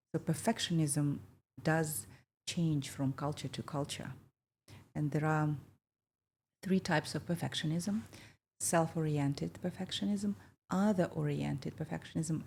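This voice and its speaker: A woman in her fifties talks in a low, gravelly voice with a mellow, dark tone. She speaks thoughtfully and reflectively, pausing often and filling the gaps with hesitations. A faint hiss of noise sits behind her. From Kazakhstan. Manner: thoughtful, with many pauses and fillers. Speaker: podcast host. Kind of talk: reflective conversational chat.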